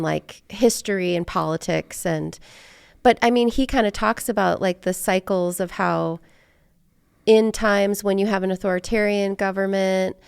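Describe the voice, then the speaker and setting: A woman's voice: mid-range voice, gravelly, smooth, no echo, clean studio sound. An American woman hosting a conversation, talking casually and reflectively as she rambles and thinks aloud. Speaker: podcast host.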